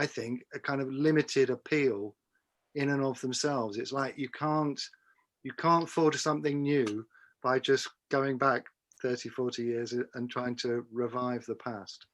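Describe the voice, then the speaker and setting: A man with a voice of ordinary pitch weighs his points aloud and keeps restarting his words. He speaks carefully, as a questioner in a live discussion. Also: a bit bright. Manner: thoughtful, tentative, restarts words. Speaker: audience member asking a question.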